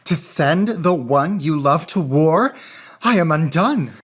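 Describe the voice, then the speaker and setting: A woman playing a lovestruck, crafty young gentleman in a florid, theatrical radio drama. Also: low voice, lively intonation, slow pace, slightly rough, exaggerated voice. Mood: anxious. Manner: florid, rhapsodic delivery.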